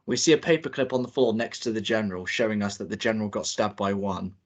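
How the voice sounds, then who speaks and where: A man reading out the stage directions at a table read in a plain, steady way. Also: on the low side, quick pace.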